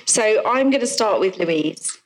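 A woman's voice: mid-range voice, expressive, unhurried, low-quality recording. A woman co-hosting a live podcast, asking teasing, probing questions. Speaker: podcast co-host.

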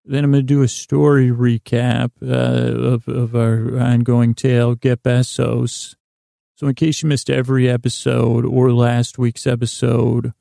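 A man with a creaky, gravelly voice hosting a bedtime podcast, rambling in a halting, self-deprecating, digressive way. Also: medium pitch, warm tone, dry recording. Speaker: podcast host. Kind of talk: rambling bedtime-story podcast intro monologue.

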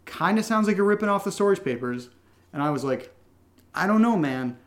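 A man's voice: high-pitched, expressive, a little fast. A young man co-hosting a podcast in a casual, unscripted question-and-answer chat, with a playful, joking, informal manner. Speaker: podcast co-host and creator.

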